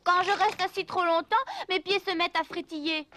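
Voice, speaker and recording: A woman's voice: extremely high pitch, fairly even intonation, brisk, sharp tone, slight background noise. A woman voicing a whiny, restless little wooden puppet boy in a French cartoon dub. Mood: sulky. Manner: whining, exclamatory, complaining. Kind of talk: animated cartoon dub acting.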